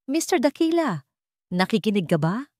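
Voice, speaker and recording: Scornful male official read by a woman, accusing and condescending, raised pitch, dry story recording. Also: sing-song, normal speed, a little mellow, no room sound. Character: stern, scornful male hospital official interrogating an underling.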